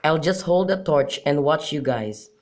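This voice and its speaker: A man's voice: on the high side, animated, a little slow. A young man in an amateur radio drama, playing a melancholy, lovesick youth in an earnest, mournful manner. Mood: glum. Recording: clearly noisy amateur recording with clicks.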